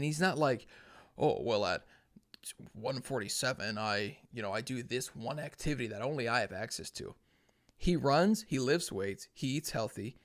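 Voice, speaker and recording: Earnest young man thinking aloud. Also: mid-range voice. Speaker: podcast host. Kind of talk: casual solo talk.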